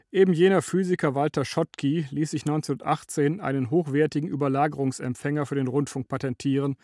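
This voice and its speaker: A man narrating a scripted radio essay in a measured, lecturing way. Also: mid-range voice, darkish tone.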